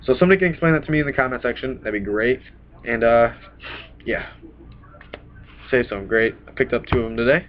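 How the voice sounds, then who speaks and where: A man's voice: medium pitch, brisk, pauses often. Young man rambling, slightly sick, lo-fi. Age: young adult. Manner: chatty, rambling, punctuates with "bam". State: getting over a cold. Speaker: card game vlogger. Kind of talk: casual deck walkthrough. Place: small room indoors. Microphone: webcam at desk. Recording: dull lo-fi webcam audio.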